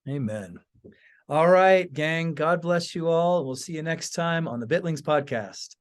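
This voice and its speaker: A man with a fairly high voice for a male speaker. He hosts a podcast and talks to his listeners in a casual, chatty way. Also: animated, a little slow. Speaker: podcast host. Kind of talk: casual chat, show sign-off.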